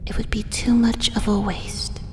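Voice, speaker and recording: A woman voicing a doting, possessive female drider monster in a soft, breathy, whispered ASMR roleplay, coaxing with tender menace in an echoing space. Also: flat delivery, measured pace, lightly acted. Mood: fond, sinister. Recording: echoey cave-like reverb, faint rumbling noise.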